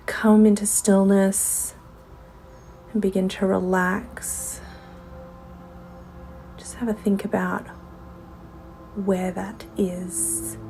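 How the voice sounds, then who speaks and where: A woman guides a meditation in a very slow, mellow voice over music. She speaks softly, with gentle pauses, and her phrases often thin out toward a breathy whisper. The delivery is soothing and instructional, like a yoga class winding down. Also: mid-range voice.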